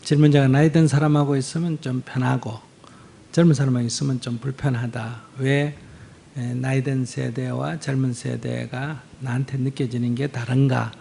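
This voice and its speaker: A man's voice: mid-range voice, level tone, very fast, vocal fry, dark tone, a little hiss or hum. A man teaching at a question-and-answer session, giving direct, pointed answers. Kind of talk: Dharma Q&A answers.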